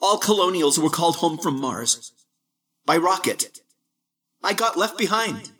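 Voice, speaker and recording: Man putting on a cocky cruel twenty year old guy, mocking, high bouncy voice, sorta distant. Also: measured pace, heavily acted. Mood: wry detached. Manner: mocking, lively, brash.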